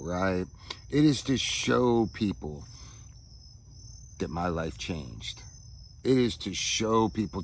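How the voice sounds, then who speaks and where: Man, deep low voice, reflective vlog monologue, halting delivery, searching for words, insects chirping faintly outdoors. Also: slight background noise. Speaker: vlogger. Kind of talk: reflective personal monologue to camera.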